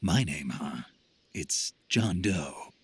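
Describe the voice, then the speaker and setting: A hardened American special forces soldier from a video game. His voice sits very low and is rough and gravelly. He talks tersely, with wry, sardonic bite. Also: expressive, extremely slow, no room sound, exaggerated voice. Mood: dry, guarded. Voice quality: gravelly, husky. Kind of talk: video game cutscene acting.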